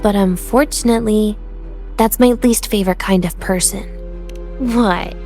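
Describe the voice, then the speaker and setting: A woman playing a composed young student in a video game, with dry humor and a gently teasing manner. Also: medium pitch, measured pace, faint music behind, close to an everyday voice.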